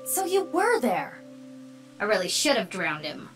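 A woman voicing a tough, blunt, sharp-tongued teenage girl in a video game. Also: mid-range voice, wildly swooping pitch, unhurried, faint music behind. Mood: suspicious. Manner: blunt, demanding, snappy.

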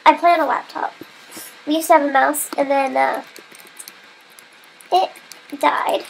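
A woman's voice: high voice, steady tone, measured pace, creaky, slight background noise, low-quality recording. A young woman giving casual, giggly commentary over her gameplay, her nose stuffed up as she comes down with a cold. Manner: chatty, giggly, self-mocking. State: stuffy nose, getting sick. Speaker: gamer.

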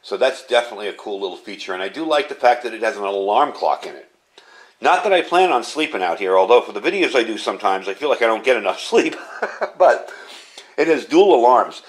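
A man with a fairly low voice walks through a piece of gear as a reviewer. He talks conversationally and with enthusiasm, in a casual hands-on style. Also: lively intonation, a little fast. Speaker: product reviewer. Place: indoor workshop room at a desk.

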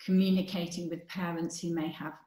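A woman with a fairly low voice explains her research in an online seminar. She talks thoughtfully, pausing and restarting as she works out her answers. The laptop mic picks up a roomy echo. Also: level tone, dark tone. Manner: thoughtful, many restarts and fillers. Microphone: laptop webcam on video call. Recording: echoey room on video call.

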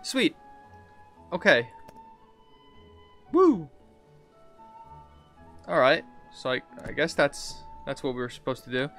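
A man's voice: fairly high pitch, big pitch swings, slow pace, pauses often, fairly bright tone. A man in his thirties giving casual video game commentary as a streamer, thinking aloud in an informal way. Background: game music.

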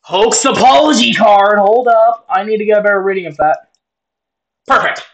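A man's voice: sits high, normal speed, a bit bright. A man giving casual, joking reaction commentary, swearing now and then and reading on-screen text aloud. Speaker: reaction video host.

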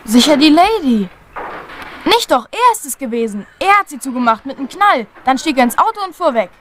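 A cheeky lad in a retro radio mystery. The voice is high and lively, eager to report what it has seen, with faint noise behind. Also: female voice, brisk. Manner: eager, cheeky, matter-of-fact. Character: cheeky, observant young working-class boy witness. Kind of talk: German retro crime radio drama.

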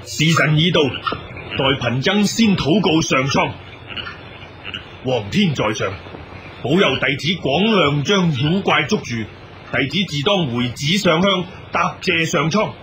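An actor playing a boastful Buddhist monk exorcist in a radio drama, declamatory and self-assured. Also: male voice, a little high, lively intonation, measured pace, a bit bright, a little hiss or hum. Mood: solemn, incanting. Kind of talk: Cantonese radio drama acting. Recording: music behind the dialogue.

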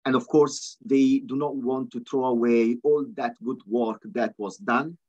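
A man as guest expert presenting software on a webinar, fluent but peppered with ums and uhs. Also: mid-range voice, fairly even intonation, unhurried. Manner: fluent but full of ums and uhs, non-native phrasing. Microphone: video call. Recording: slightly dull video call audio.

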